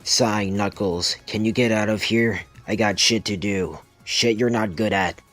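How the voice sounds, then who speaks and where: A man's voice: on the low side, fairly even intonation, a little slow, a bit bright, faint music behind. A man voicing a stern, irritable, vengeful hedgehog leader in an amateur comic dub, curt and scolding. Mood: fed up.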